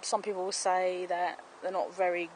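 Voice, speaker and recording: A woman's voice: lowish voice, level tone, normal speed, darkish tone. Chatty woman filming her allotment plot. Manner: chatty, homely, explains as she goes. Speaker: allotment gardener. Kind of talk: casual walking tour commentary to camera. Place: outdoor allotment garden plot. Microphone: handheld camera, speaker off screen. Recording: outdoor camera audio with clear background noise.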